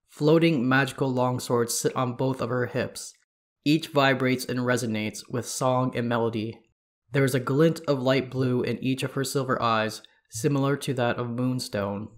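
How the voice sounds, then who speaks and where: A man with a stuffy, congested voice narrates fantasy lore for his podcast. His pitch sits in the middle range, and his delivery is steady and measured, like someone reading from prepared notes. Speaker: podcast host.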